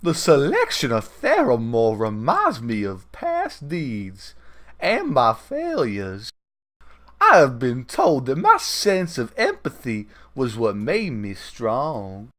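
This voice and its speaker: A man plays a bitter woman leader from a comic book. The speech is slow, halting and dramatic, heavy with regret. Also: mid-range voice, huge pitch swings, fairly bright tone, heavily acted. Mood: regretful, bitter. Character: regretful, bitter woman leader, grieving her fallen city.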